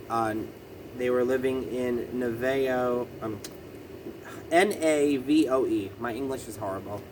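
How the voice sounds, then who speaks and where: A man's voice: medium pitch, noisy background. A man with a lisp talking through a casual explanatory vlog, hesitant and full of ums and self-corrections. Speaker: vlogger.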